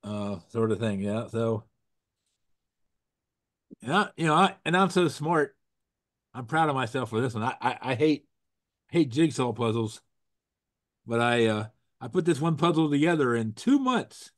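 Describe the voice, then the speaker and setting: A man's voice: mid-range voice, expressive, measured pace, long pauses, no echo, clean studio sound. A man hosting a casual video-call talk show, getting over a cold and sounding froggy, rambling with restarts and corny puns. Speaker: host. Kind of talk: casual chat with jokes.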